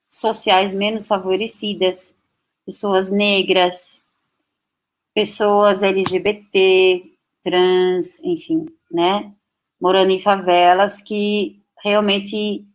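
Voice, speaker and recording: A woman nearing sixty who grew up speaking German at home speaks slowly and thoughtfully to an audience. She takes her time, pauses often and restarts sentences as she finds her words. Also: mid-range voice. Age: 50s. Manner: slow, reflective, with many pauses and restarts. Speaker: guest speaker. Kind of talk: personal talk to an audience.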